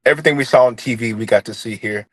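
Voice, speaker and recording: A man's voice: mid-range voice, fast, fairly bright tone, no room sound. Trinidad-raised guy, light accent, talks it through with lots of ums, no room sound. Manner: earnest, reflective, with many fillers and restarts. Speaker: guest. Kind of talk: interview, personal story.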